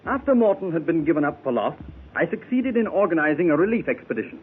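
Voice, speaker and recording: A man's voice: a little high, big pitch swings, husky. A man playing a seasoned Arctic explorer captain on old radio. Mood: recounting. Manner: formal, measured storytelling. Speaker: club member telling his adventure. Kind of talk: 1930s radio drama acting. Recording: tinny old 1930s radio transfer with faint hiss.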